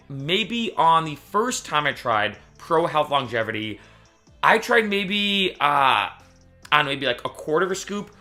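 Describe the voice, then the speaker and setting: Young man, casual vlog, bright clear voice, chatty with self-corrections, faint background music. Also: on the high side. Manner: chatty, restarts and self-corrections, animated retelling. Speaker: YouTuber. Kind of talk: casual talking-to-camera vlog.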